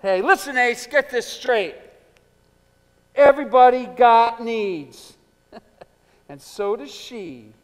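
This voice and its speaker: A man's voice: extremely high pitch, slow pace, pauses often. A man playing a swaggering, seductive drug dealer in a jazz bar, coaxing and taunting in streetwise slang, in a theatrical staged reading. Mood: taunting, gloating. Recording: echoey theater hall with music.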